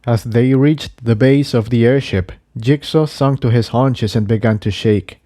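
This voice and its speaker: Mellow male story narrator, unhurried. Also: mid-range voice, no room sound, clean studio sound. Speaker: narrator, fan fiction reader.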